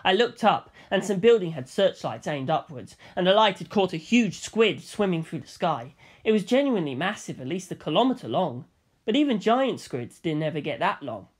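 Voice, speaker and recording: An audiobook reader telling a story in the first person. The voice sits at a middle pitch and moves around a lot, giving the narration an expressive, animated feel. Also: normal speed, husky.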